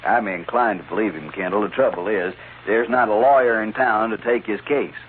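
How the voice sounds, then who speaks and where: An actor playing an anxious, hesitant American small-town newspaper editor in a 1950s radio western. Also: male voice, lowish voice, normal speed, natural voice. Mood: resigned. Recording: tinny, faintly noisy old radio.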